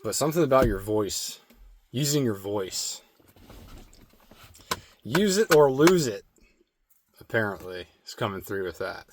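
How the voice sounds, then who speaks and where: A man giving a slow, meditative spirit card reading with a strained, slightly hoarse throat and many filler words. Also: on the low side, big pitch swings, a little hiss or hum. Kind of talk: intuitive oracle card reading.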